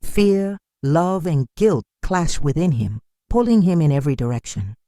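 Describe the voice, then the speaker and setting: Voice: mid-range voice, lively intonation, normal speed, dark tone, no room sound. Solemn dramatic storyteller, dry studio sound. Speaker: storyteller narrator of a folktale. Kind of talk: dramatic scripted storytelling narration.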